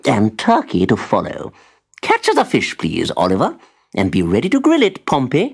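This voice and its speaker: Man playing a genial old magician, musing and low. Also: wildly swooping pitch, normal speed, gravelly. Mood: hospitable, relishing. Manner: musing, hospitable, with hums and chuckles.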